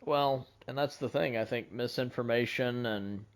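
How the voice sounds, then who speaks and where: A man's voice: mid-range voice, normal speed. A man hosting a casual podcast conversation, speaking in an earnest, wordy way and often repeating himself. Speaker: podcast host.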